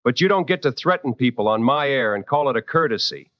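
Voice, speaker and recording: An actor playing a seasoned, protective late-night radio host in a drama, with a firm, wry and deliberate delivery. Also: male voice, medium pitch, lively intonation, no echo, highs cut off, close to an everyday voice. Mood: indignant, protective.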